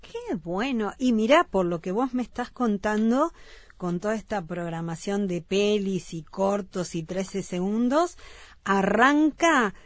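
A woman with a Rioplatense accent hosts a radio chat, sitting mid-pitch for her voice. She talks in a lively, slangy way and keeps recapping dates and places. The studio sound is dry and close. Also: a little slow, dry recording. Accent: Rioplatense Spanish. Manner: lively, uses local slang, repeats event details. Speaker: radio host. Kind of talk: radio interview. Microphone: studio mic.

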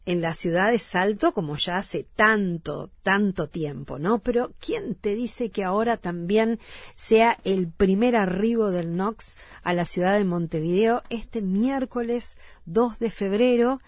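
A woman from Uruguay with a Rioplatense accent, hosting a radio interview in a lively, slangy way and repeating event details. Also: medium pitch, measured pace, no room sound, clean studio sound. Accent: Rioplatense Spanish. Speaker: radio host. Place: radio studio. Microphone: studio mic.

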